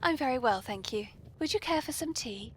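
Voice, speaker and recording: A British woman with a breathy voice, hosting a whimsical podcast in a genteel, playfully polite manner. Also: sits high, expressive, brisk, slight background noise. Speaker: podcast host.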